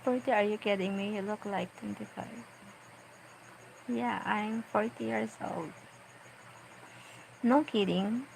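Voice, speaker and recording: A woman in her forties with a Filipino accent, chatting casually on a livestream as she reads viewer comments aloud and answers them in a playful, unhurried way. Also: medium pitch, fairly even intonation, vocal fry, a little mellow, a little hiss or hum, rough recording. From Philippines. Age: 40s. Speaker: vlogger on a late-night livestream.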